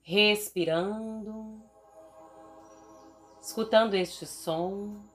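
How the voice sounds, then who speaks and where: Female meditation guide, Brazilian Portuguese accent, mid-range pitch, slow and soothing, long deliberate pauses. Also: faint music behind.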